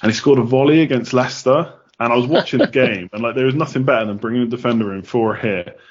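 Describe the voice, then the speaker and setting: A man's voice: mid-range voice, fast, breathy edge, dull audio. A man from the United Kingdom answering casually as a podcast guest, chatty with many ums.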